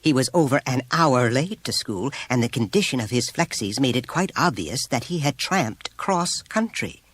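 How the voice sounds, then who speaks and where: A man's voice: mid-range voice, sing-song, a little slow, breathy edge, voice pushed up. A man voicing an anxious, polite woman schoolteacher with a hesitant, delicate and apologetic manner. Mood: firm, careful.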